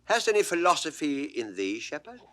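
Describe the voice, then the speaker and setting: A mock-grave court jester with a British accent, bright and wildly varied in pitch. He delivers balanced, teasing pseudo-philosophy in classical stage style, as in a 1960s recorded play. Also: sing-song, brisk. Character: witty, mock-grave court jester lording it over a shepherd. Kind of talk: classical Shakespearean stage acting. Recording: 1960s studio audio drama recording.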